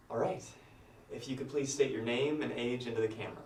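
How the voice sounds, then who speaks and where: A man's voice: medium pitch, fairly even intonation, normal speed, a little mellow, slight background noise. Polite young man, echoey room. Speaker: student interviewer. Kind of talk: film dialogue.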